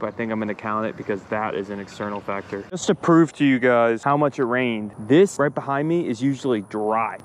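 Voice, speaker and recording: A man hosting a fishing vlog outdoors, talking casually and informally to viewers with mild slang. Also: mid-range voice. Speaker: fishing video host. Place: outdoors by a pond. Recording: noisy outdoor ambience.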